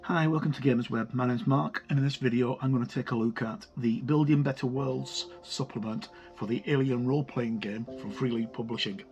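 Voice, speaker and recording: A man giving a casual, halting review of a tabletop game product in an informal way. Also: mid-range voice, darkish tone, lo-fi sound. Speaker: video reviewer. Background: music.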